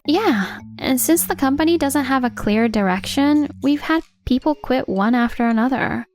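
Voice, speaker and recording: A woman's voice: high voice, expressive, normal speed, a little mellow. A woman playing a reserved young company president in a manga dub, businesslike and guarded about her feelings. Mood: worried. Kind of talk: anime-style manga dub acting. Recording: background music under the voice. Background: music.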